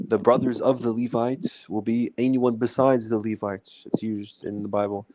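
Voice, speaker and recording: A man's voice: fairly low pitch, level tone, normal speed, warm tone, no echo, low-quality recording. A man from Lebanon in an informal religious debate, explaining his argument and often checking with the listener. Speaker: debate panelist.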